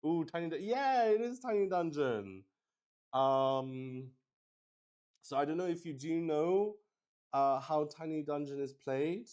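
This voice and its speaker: Slow-paced man, casual and rambling. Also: a little high, darkish tone. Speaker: streamer playing solo tabletop RPG. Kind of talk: casual livestream explanation, chatting with viewers.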